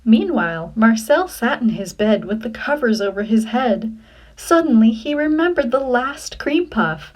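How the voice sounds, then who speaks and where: A woman reading a children's story like a teacher, with expressive, deliberate phrasing. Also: a little high.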